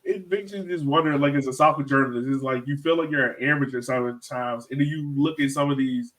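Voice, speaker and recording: A man's voice: mid-range voice, a little fast, a bit bright. A man hosting a podcast as a soccer journalist, talking loosely with lots of fillers. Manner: loose, conversational, filler-heavy. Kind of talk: casual interview chat. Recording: voice distant from the mic.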